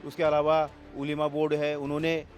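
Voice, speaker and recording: Male TV reporter, pitch a touch high, wordy and insistent political questioning, light music underneath. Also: completely flat delivery, a little fast. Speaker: TV news reporter, interviewer. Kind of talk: political news interviewing, putting claims as questions.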